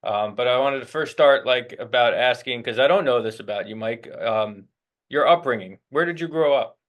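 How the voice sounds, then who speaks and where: Relaxed man hosting a casual interview. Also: medium pitch, normal speed. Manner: relaxed, loose, uses filler words. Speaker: host, guitar teacher.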